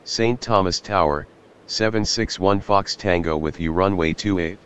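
A low-pitched, businesslike male pilot acted by a man for a flight control game. He gives clipped, procedural radio readbacks with a flat delivery. Faint engine noise sits behind the voice. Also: normal speed, muffled recording. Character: businesslike male airline pilot answering the tower.

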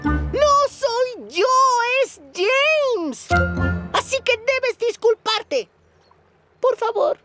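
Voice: very high-pitched, very animated, very slow, heavily acted. An actor voicing a small, stubborn young male diesel engine in a children's cartoon, childlike and insistent, in Latin American Spanish. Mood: indignant. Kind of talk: animated children's show dialogue. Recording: loud music behind the voice.

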